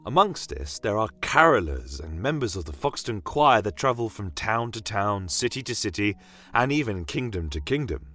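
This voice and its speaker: A man playing a kindly, sentimental town doctor in a fantasy audio tale, telling of his winter kingdom in a reflective, gently formal way. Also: fairly low pitch, sing-song, normal speed. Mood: nostalgic, content. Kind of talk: in-character fantasy monologue to a listener. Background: music.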